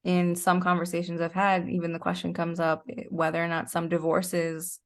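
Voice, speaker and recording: A woman's voice: on the low side, completely flat delivery, moderate pace. A middle-aged woman as an interview guest, explaining her points conversationally in a thoughtful, step-by-step way.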